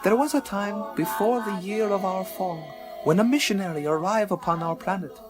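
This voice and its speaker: An actor playing a courteous, solemn alien detective guide in a radio play, with formal, stilted phrasing and broken English. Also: male voice, high voice, normal speed, close to an everyday voice. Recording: music under the voice.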